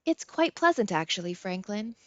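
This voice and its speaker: A woman's voice: mid-range voice, sing-song, no echo, dull audio, natural voice. A woman voicing a pudgy, stuffy male psychiatrist in an audiobook, formal and politely probing. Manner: formal, measured, careful phrasing.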